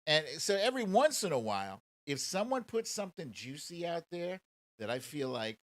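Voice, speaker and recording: A man's voice: fairly high pitch, sing-song, normal speed, fairly bright tone, dry recording. An American man hosting a livestream, chatting casually and mockingly as he comments and answers viewer questions in colloquial speech. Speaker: host of a live commentary show.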